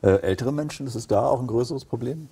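A man's voice: mid-range voice, fast, warm tone, slight background noise. A middle-aged man hosting a studio interview and asking questions. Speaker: TV host, interviewer.

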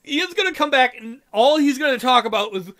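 A man's voice: extremely high pitch, animated, brisk, fairly bright tone. A man reading a visual novel aloud in a theatrical way, adding irreverent joking commentary.

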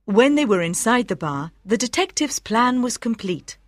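A woman reading scripted storybook narration for language learners, with careful, expressive enunciation. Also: fairly high pitch, normal speed, no echo, clean studio sound. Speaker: audiobook narrator.

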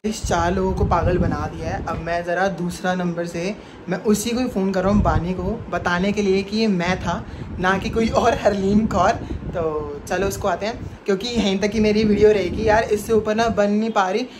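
A man's voice: high voice, steady tone, moderate pace. A young male vlogger chatting casually to his viewers in an informal, slangy way. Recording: clear background noise, traffic hum.

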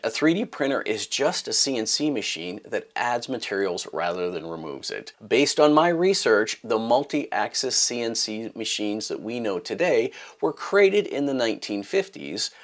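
Lively middle-aged man explaining to camera. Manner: lively, emphatic openings that drop low at phrase ends. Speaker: maker channel host. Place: home workshop room with purple lighting.

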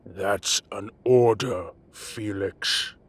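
A man playing a commanding, impatient but protective half-dragon mafia boss in an audio roleplay, with a rough voice and a bossy, scolding manner. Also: fairly low pitch, very slow, full character voice. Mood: concerned, firm.

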